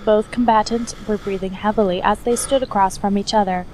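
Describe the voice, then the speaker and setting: Female narrator for a hobby audio story, mid-pitched scripted read, with clear background noise. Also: brisk. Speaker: fan fiction reader, narrator. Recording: noisy hobby recording, steady background noise.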